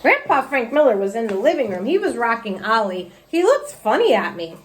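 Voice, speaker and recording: A woman teacher reading a children's storybook aloud in an expressive, explanatory way. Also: on the high side, a little fast, slight background noise.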